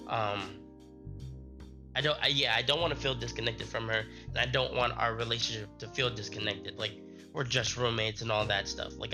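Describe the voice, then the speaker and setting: A young adult man, sick with a strained voice, chatting casually on a live stream in a halting, rambling way. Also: medium pitch.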